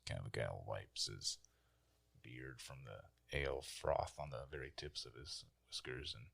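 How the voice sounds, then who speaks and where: A man's voice: rumbling low, measured pace, vocal fry, fairly bright tone, breathy edge. A man playing a tabletop game, casually describing what his character does.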